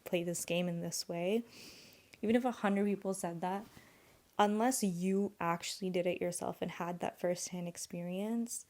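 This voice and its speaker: Young woman, native Urdu speaker, solo podcast host; low, warm, mellow voice, earnest and rambling. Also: steady tone, normal speed. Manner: earnest, rambling, thinks aloud with many "like"s.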